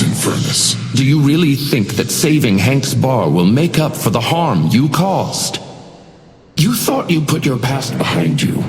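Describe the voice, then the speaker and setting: A silky, sinister hotel doorman from a fantasy video game. He speaks with exaggerated courtesy and menace, his pitch rising and falling dramatically. The voice rings with long reverb, and music plays behind it. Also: darkish tone. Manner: silky, mock-polite, menacing, theatrical. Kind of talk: theatrical game voice lines.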